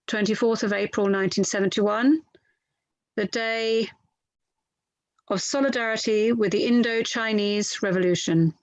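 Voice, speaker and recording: A woman reading the part of an inquiry lawyer from a transcript, courteous and methodical, slightly halting. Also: medium pitch, fairly even intonation, dry recording, dull audio, natural voice. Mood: polite, methodical. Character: formal, polite inquiry lawyer questioning a witness.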